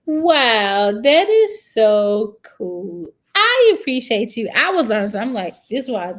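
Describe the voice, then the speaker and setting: A woman's voice: a little high, big pitch swings, slow. A woman hosting a casual live interview, talking in an enthusiastic, chatty way. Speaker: livestream host.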